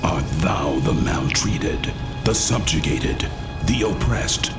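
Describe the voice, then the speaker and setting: A colossal demon servant in a horror radio play. The voice is extremely deep and crackles with gravel. It speaks slowly and ceremoniously, with archaic menace. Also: male voice, expressive, heavily acted. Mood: solemn, reverent. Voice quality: gravelly, half-whispered growl. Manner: solemn, archaic, ceremonious speech. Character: huge demonic comic-book enforcer, archaic, menacing servant. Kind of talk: horror radio drama acting. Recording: loud music under the voice.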